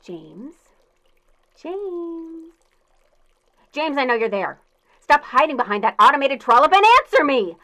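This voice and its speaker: A shrill, demanding fiancée in an audio drama. The voice is high, forceful and sharp, with very animated swings. She scolds with jealous, strident insistence. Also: unhurried, long pauses, exaggerated voice. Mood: angry, demanding. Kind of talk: comic audio drama acting.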